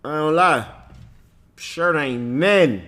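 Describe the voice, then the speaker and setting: A man's voice: on the high side, expressive, takes it slowly, a bit bright, slight background noise. A man live-streaming a reaction, tossing slangy, joking asides to his chat. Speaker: streamer reacting to a dating show. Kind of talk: casual live-stream commentary.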